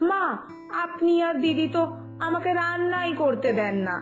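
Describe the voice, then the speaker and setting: A high, girlish young bride from a cartoon village story, acted by a woman. She is naive, curious and talkative, coaxing her in-laws sweetly, with faint music behind her. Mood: eager, coaxing. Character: eager, chatty young new daughter-in-law, naive and curious. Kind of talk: Bengali cartoon village family tale acting.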